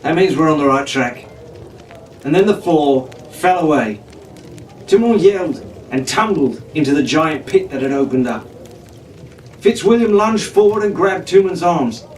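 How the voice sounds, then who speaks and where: A man's voice: a little high, expressive, unhurried, a little mellow. A man reading a pirate adventure story aloud as a dramatic storyteller. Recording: echoey room, distant mic, faint noise.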